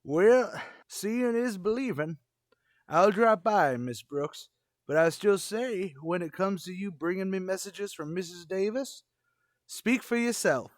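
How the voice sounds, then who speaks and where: A man's voice: sits high, animated, unhurried, no room sound. A man playing a smitten, stubborn old custodian with folksy, rustic turns of phrase in a radio comedy. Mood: stubborn, lovestruck.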